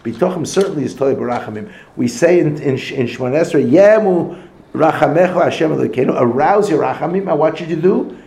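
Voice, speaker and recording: A man teaching a Torah lesson in a conversational, animated way, mixing in Hebrew and Yiddish terms. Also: on the high side, brisk, creaky, reverberant. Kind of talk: Torah lecture.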